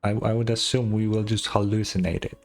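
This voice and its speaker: A woman streaming a video game, giving casual reaction commentary in a husky voice. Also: very deep voice, steady tone, fairly quick, darkish tone.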